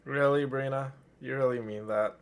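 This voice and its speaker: A man's voice: mid-range voice, fairly even intonation, normal speed, slight background noise. A man hosting a livestream, chatting casually and addressing viewers with doting affection. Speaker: livestream host. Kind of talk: casual chat while streaming a game.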